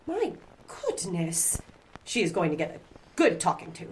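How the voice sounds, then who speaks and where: A woman's voice: fairly low pitch, wildly swooping pitch, a little slow, slight background noise, poor audio. A woman playing a wealthy middle-aged society mother in an audio drama, formal, genteel and condescending. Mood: dismissive, embarrassed. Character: wealthy, haughty society mother, dismissive and proper.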